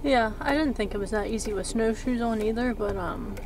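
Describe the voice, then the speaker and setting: A woman's voice: fairly high pitch, a little fast, a little mellow, slight background noise. A woman around her thirties talking casually to the camera as a travel vlogger. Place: inside a car.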